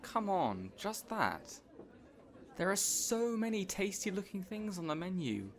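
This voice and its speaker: A man's voice: high voice, sing-song, unhurried, some chatter behind, heavily acted. A man playing an affable supervillain boss in an audio roleplay, chatty and awkwardly earnest, playfully bossy with an assistant. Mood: cheerful, eager. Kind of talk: audio roleplay acting addressed to listener.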